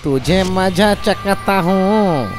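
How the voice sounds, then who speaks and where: A man's voice: high-pitched, very slow. Angry, drawling cartoon gunman. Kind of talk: Hindi children's cartoon acting. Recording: music clearly under the voice.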